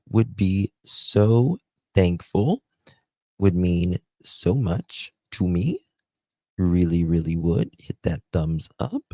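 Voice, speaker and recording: A man with a Southern US accent hosting a live stream, chatting slowly with viewers and reading their comments aloud. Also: low voice, dark tone, no echo. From United States. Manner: slow, chatty, drawling, talks to viewers by name. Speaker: livestream host.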